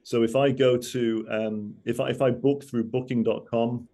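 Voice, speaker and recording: A man's voice: medium pitch, flat delivery, normal speed, warm tone. A man from Manchester with a Mancunian accent, giving a rambling, conversational talk full of asides and self-corrections. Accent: Mancunian English. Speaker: guest speaker. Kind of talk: conversational presentation over video call.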